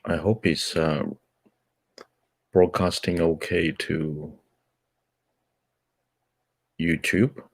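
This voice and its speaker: A man whose first language is Cantonese, hosting a book club and reading a nonfiction book aloud in a measured way, pausing on fillers between phrases. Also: low voice, steady tone, husky.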